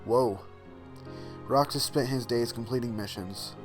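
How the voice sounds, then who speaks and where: Reaction host: man, mid-pitched, unhurried, halting read-aloud with short exclamations, music behind. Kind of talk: casual live reaction, reading on-screen text aloud.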